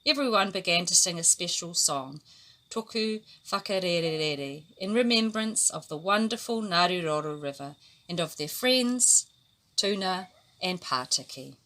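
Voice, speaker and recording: A woman reads a children's story aloud with a bright, clear tone. She tells it gently, raising her voice when the characters speak, in an unhurried storybook manner. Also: lowish voice, expressive. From New Zealand. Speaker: storybook reader.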